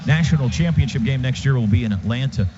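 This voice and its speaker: A man's voice: mid-range voice, quick pace. An American man doing live radio play-by-play of football, in clipped phrases during play and conversationally between plays. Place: stadium radio booth. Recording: dull, noisy live stadium radio broadcast.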